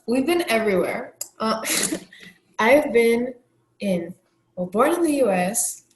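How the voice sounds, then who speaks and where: A woman's voice: on the high side, animated, slow pace, darkish tone, echoey room, slight background noise, rough recording. A woman hosting a casual chat among friends, talking loosely with lots of fillers and frequent laughs. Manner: chatty, laughs often, many "like" fillers. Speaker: host.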